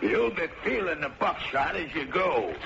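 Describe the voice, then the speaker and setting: An actor playing a talkative, touchy old farmer with a folksy rural American drawl and creaky voice in an old-time radio drama. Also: male voice, a little high, sing-song, a little slow, exaggerated voice. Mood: hostile. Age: older. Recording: muffled, noisy old radio recording.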